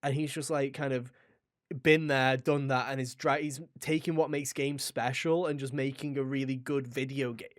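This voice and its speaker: A man's voice: mid-range voice, steady tone, normal speed, no room sound, studio quality. A man co-hosting a podcast, chatting casually and enthusiastically about video games with informal slang. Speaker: podcast co-host.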